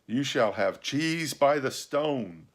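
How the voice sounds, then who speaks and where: A man's voice: mid-range voice, animated, slow pace, pitched above the performer's own voice. A man voicing an earnest, well-spoken boy adventurer in a storybook, curious and polite. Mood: reassuring.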